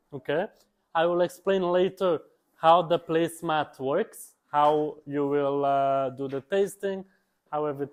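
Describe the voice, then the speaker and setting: A young man from Portugal, teaching a class as a chef instructor and checking in with the class with a frequent "okay?". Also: mid-range voice. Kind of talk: live class presentation.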